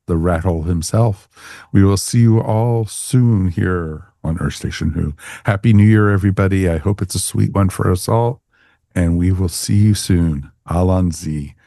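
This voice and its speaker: A man's voice: deep voice, mellow, no room sound, clean studio sound. A man hosting a podcast, chatting casually and informally with co-hosts and listeners. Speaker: podcast host. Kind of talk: casual podcast sign-off chat.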